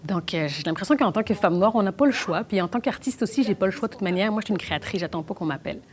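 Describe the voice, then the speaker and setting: A young adult woman from Belgium, talking fast with many restarts in a documentary interview. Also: mid-range voice, animated. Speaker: actress and playwright.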